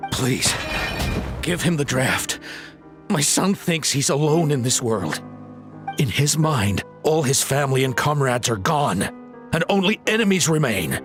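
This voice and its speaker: An actor playing a devoted father in a video game, speaking earnestly, politely and gently. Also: mid-range voice, big pitch swings, unhurried, faint music behind. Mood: pleading. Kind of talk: scripted video game voice acting.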